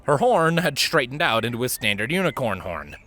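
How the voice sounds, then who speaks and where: A man's voice: medium pitch, big pitch swings, fairly quick, very noisy. A man reading a fan fiction story aloud as a scripted narrator.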